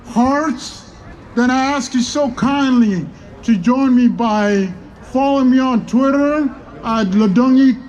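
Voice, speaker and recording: A man calls out to a street rally, fervent and emotional, his voice pushed very high. A crowd is faintly heard around him outdoors. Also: rough recording. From Sudan. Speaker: rally speaker. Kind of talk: rally speech. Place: outdoor downtown street protest.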